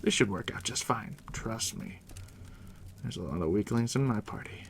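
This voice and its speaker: A man doing a soft, deep-whispered ASMR roleplay as a game master, unhurried and reassuring, speaking directly to the listener up close. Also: animated, creaky, a little hiss or hum. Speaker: game master guiding a new player.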